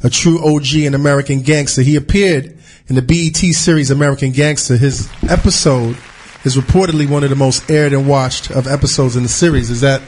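A man's voice: medium pitch, fairly even intonation, brisk, a little mellow. A man hosting a casual studio podcast interview, talking loosely with many restarts and repeated words. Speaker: podcast host.

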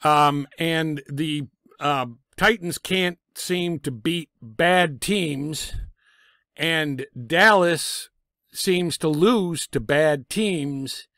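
A middle-aged man with a raspy voice from a lingering cough, giving blunt, sarcastic football picks from a small home room on a desk microphone. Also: on the high side, extremely slow, a bit bright, no room sound, studio quality. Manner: blunt, sarcastic about teams and coaches. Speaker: sports picks vlogger. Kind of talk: casual football picks commentary. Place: small home room full of sports memorabilia. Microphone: desk microphone in front of him.

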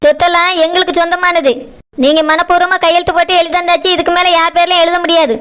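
Hard-hearted daughter-in-law, female performer for a family skit: piercing, fast-talking, curt and scolding, southern Tamil Nadu accent. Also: very high voice, flat delivery, a bit bright. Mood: cold defiance. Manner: scolding, dismissive. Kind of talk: family drama skit acting.

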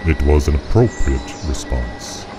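A man playing a menacing, stubborn imp in a radio play, speaking in a clipped, formal, deadpan way. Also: very deep voice, measured pace, very noisy. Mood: cold, defensive. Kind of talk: short radio play acting. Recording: music behind the voice.

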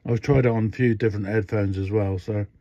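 A man's voice: lowish voice, steady tone, fast talker, warm tone, no echo. Older man, rambling workbench demo. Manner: rambling, many fillers and restarts. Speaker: radio hobbyist showing a modification. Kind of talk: casual hands-on demo. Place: indoor workbench. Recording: dull, dry lo-fi phone audio.

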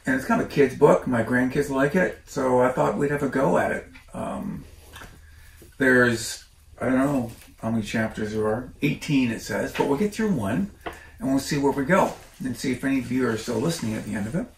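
An older man reads a children's book aloud in a casual, unhurried way, breaking off for chatty asides. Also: medium pitch. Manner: informal, unhurried, commenting as he reads. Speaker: storyteller reading a children's book. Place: living room by a stone fireplace. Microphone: camera a few feet away. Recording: roomy, distant mic, faint noise.

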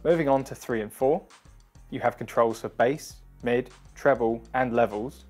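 Man, thirties, mid-pitched, scripted product demo, instructional delivery, background music. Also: normal speed, a little mellow. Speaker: product demonstration presenter. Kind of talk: scripted product review narration. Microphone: wireless lavalier or close studio mic.

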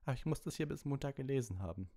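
A man voicing a studious, high-strung teenage schoolgirl in an audiobook. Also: expressive, very fast, mellow, lightly acted. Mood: overwrought.

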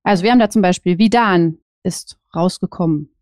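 A woman's voice: lowish voice, fairly quick, a little mellow, no echo, clean studio sound. A woman co-hosting a casual panel chat. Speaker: podcast co-host.

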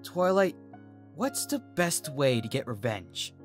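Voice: medium pitch, big pitch swings, takes it slowly, soft background music. A narrator voicing a put-upon young male cartoon dragon, plaintive and exasperated. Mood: sulky.

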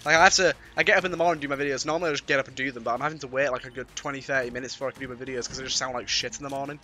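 Bright-voiced British man with a cold. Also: mid-range voice, fairly even intonation, racing. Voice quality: slightly raspy. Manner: playful, mildly sweary. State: has a cold. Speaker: gaming YouTuber. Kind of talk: casual let's-play commentary. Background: game music.

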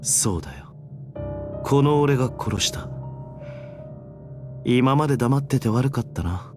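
A man plays a cynical young assassin from a video game. He talks in a slangy, teasing drawl with lively swings in pitch at a middling height. Music plays clearly behind him. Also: unhurried, close to an everyday voice. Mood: cool confessing. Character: flippant, cynical young male assassin hiding guilt. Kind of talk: Japanese video game voice acting.

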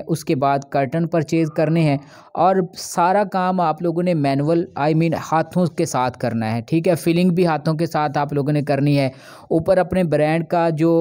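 A man, hosting a business channel and narrating an explainer video as a voice-over, speaking directly to his viewers with frequent drawn-out filler sounds. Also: fairly high pitch, warm tone.

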